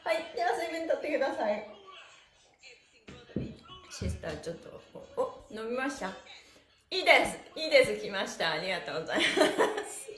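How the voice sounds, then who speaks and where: A woman with a high, bright-spirited voice leads a livestreamed stretch class. She is cheerful and playfully polite, giving chatty instructions and rhythmic chants. The room is echoey, with soft music behind her. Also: sing-song, normal speed, pauses often. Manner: cheerful, very polite, playfully addressing body parts and devices with honorifics. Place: small indoor room with bare walls and mat, echoey.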